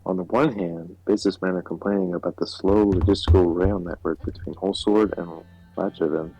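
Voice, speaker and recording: Man reading as a gravelly, low government minister. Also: steady tone, a little fast, dark tone, faint music behind, low-quality recording. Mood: earnest, businesslike. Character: formal male government minister briefing his president. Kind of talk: amateur voiced read of game dialogue.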